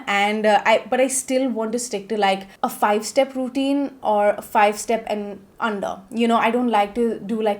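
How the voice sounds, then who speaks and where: A woman's voice: on the high side, a little mellow. A woman of thirty with a nasal voice from a cold, chatting casually in a beauty vlog and often checking in with "okay?". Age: 30s. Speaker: beauty vlogger.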